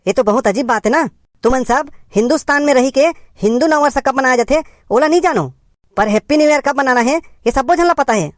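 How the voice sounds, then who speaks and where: A woman playing a concerned village uncle in a cartoon comedy, gently lecturing in a hesitant way with a Chhattisgarhi accent. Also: high voice, brisk, no room sound, studio quality, lightly acted. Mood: admonishing, earnest.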